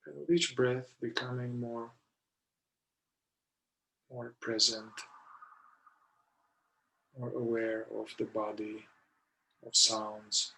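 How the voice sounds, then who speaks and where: A man leading a guided meditation in short, deliberate phrases with long pauses between them. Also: medium pitch, level tone, vocal fry, sharp tone, a little hiss or hum, rough recording. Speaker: meditation guide, somatic therapist.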